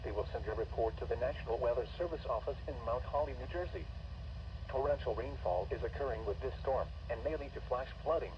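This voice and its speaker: Casting: synthetic male weather-warning announcer, formal and impersonal, measured scripted delivery, heard distant and muffled over a radio. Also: mid-range voice, background noise. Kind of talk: scripted emergency weather bulletin.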